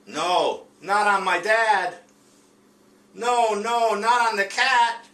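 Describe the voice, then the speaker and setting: A man's voice: high voice, slow, bright, forceful tone, voice pushed up, exaggerated voice. A man voicing an eager, dreaming dog from a children's picture book, in simple read-aloud phrases. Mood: excited protest. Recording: roomy, distant mic with faint noise.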